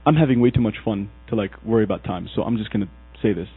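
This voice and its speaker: A man's voice: fairly low pitch, rapid-fire. Man from Egypt preaching over a tinny line. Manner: storytelling, animated, acts out quoted characters. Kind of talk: sermon. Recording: husky voice over a tinny, dry, phone-like recording with mains hum.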